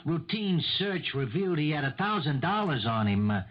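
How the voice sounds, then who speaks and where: An actor playing a polite, halting male police detective in a 1970s radio mystery, talking in a casual official way. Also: a little high, big pitch swings. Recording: dull old radio broadcast recording.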